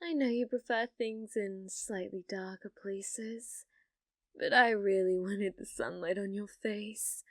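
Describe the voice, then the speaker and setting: Woman acting a slow, sugary, possessive yandere girlfriend. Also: mid-range voice, steady tone, no room sound, studio quality. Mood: tender, doting. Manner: sugary, coaxing, with veiled menace. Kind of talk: ASMR roleplay, addressing the listener as a date.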